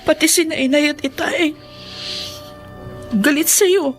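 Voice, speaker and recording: A woman's voice: high-pitched, slow pace, soft background music. A woman acting in a Filipino radio drama as a wounded but devoted young mother. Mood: hurt, reproachful.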